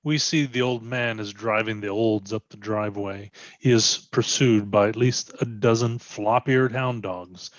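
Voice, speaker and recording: A man's voice: on the low side, steady tone, unhurried, hardly any pauses, no echo. A man reading scripted stage directions as present-tense narration, in a slightly muffled recording.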